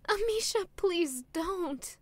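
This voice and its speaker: Woman acting a high-voiced, unhurried young maid. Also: expressive, no room sound, heavily acted. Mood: pleading, soft. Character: sweet, lovestruck, put-upon young maid and narrator. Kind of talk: audio drama acting with first-person narration.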